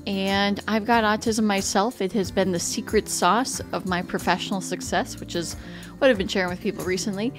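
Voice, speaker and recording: A woman hosting her own podcast, opening the show and interviewing a guest with short encouraging replies. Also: medium pitch. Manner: brief encouraging backchannels. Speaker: podcast host.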